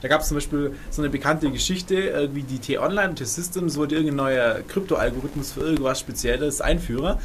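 A man's voice: a little high, a little fast, few pauses. A man co-hosting a podcast, explaining things in casual, conversational talk. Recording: faint background noise.

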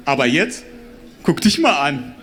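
A man performing spoken word on stage, talking directly to the audience with wide, animated swings of voice. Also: unhurried. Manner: animated, addresses the crowd directly, swings pitch widely. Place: stage before a live audience.

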